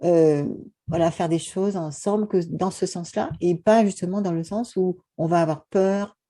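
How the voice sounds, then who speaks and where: A woman's voice: on the low side, moderate pace, warm tone. A woman from France hosting an interview, talking earnestly and at length, with many fillers like "euh" and "voilà". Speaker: host. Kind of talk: casual conversation.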